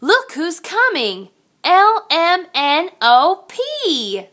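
A woman reads a children's picture book aloud at a very slow, deliberate pace. Her voice is high and lively, swinging up and down in an expressive sing-song way, like a teacher speaking to little kids.

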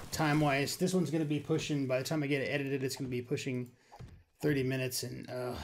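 A man's voice: mid-range voice, a little hiss or hum. A man giving casual, rambling gaming commentary, thinking aloud and grumbling mildly as he builds. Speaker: gaming video creator.

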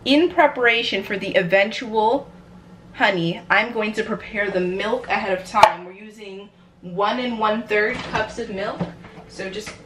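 A woman's voice: medium pitch, measured pace. A young American woman chatting casually to the camera in a playful, chaotic way as she vlogs in her home kitchen. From New York, United States. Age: young adult. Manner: playful, chatty, self-described chaotic energy. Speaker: vlogger. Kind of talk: casual cooking vlog chat to camera. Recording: clear background noise, room ambience.

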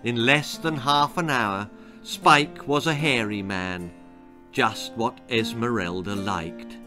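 A British man in his sixties reading a children's story aloud with expressive, theatrical narration. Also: mid-range voice, takes it slowly, soft background music. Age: 60s. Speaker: author reading own story. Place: small book-lined room indoors.

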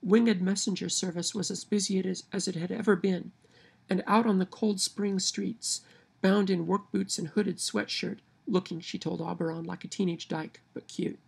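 Midwestern lady w nasal twang, kinda husky and gravelly, reading slow into her webcam at home. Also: medium pitch, steady tone. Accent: Midwestern American. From Kansas. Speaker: vlogger. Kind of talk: reading a word list and a novel passage aloud. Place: home room by window blinds, indoors. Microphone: webcam at arm's length.

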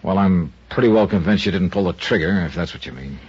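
A husky hard-boiled investigator. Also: male voice, low-pitched, animated, quick pace, a little mellow, heavily acted. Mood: probing. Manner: sardonic. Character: hard-boiled male insurance investigator, dry and probing. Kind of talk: 1940s radio acting. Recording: dull lo-fi old radio recording.

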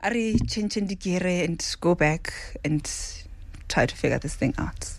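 Animated woman in a dry studio. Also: lowish voice, unhurried, dry recording, poor audio. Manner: animated, mixes Setswana into English, acts out others' words. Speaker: restaurant owner, guest. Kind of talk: casual radio interview. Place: radio studio. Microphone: studio boom mic.

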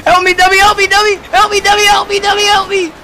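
High-pitched, sharp-voiced young man. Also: completely flat delivery, fast, a faint crowd. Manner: loud, repetitive, confrontational. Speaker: streamer playing a role-play game. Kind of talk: excited gaming banter, street slang. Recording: noisy game stream audio.

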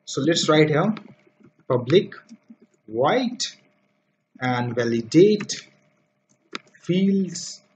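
A man's voice: a little high, lively intonation, drawn-out words, long pauses, darkish tone. A man teaching programming over a screen recording, dictating code step by step with frequent fillers. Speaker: programming tutor. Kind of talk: screen-recorded coding tutorial. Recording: dull lo-fi mic with faint noise.

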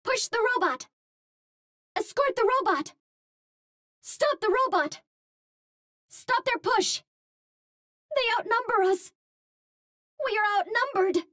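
A cute young female Martian explorer for a video game. Her voice is extremely high and girlish, with lively melodic swings. She speaks at an unhurried pace, choosing formal, precise words with earnest politeness. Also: no room sound, studio quality, full character voice. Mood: alarmed. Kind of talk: video game hero callouts.

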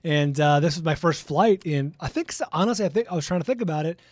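A man's voice: fairly high pitch, lively intonation, quick pace, no echo. A middle-aged American man telling stories conversationally as a podcast co-host, on a close studio microphone. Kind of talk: casual conversational storytelling. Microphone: close studio microphone with headphones.

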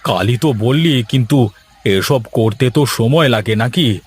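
A man's voice: medium pitch, animated, normal speed, slightly rough. A man voicing a blustering, bossy shrimp-farm owner in a cartoon, with a domineering manner. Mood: aggrieved. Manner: scolding, domineering. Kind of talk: cartoon dialogue acting.

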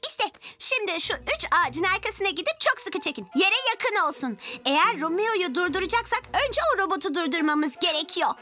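A woman's voice: very high voice, animated, a little fast, hardly any pauses, bright, forceful tone, exaggerated voice. A woman voicing a bold, eager young superhero girl in a children's cartoon dub. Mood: determined, confident. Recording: cartoon music behind the voice.

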